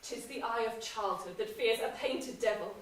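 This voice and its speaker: A woman's voice: a little high, moderate pace, a little hiss or hum, natural voice. An actress playing a ruthless noblewoman spurring her husband on, delivering intense Shakespearean verse on stage, recorded from far off in an echoey hall. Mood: commanding. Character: ruthless scheming noblewoman, murderer's wife. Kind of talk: stage play performance. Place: theatre stage in a large hall. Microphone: distant room mic.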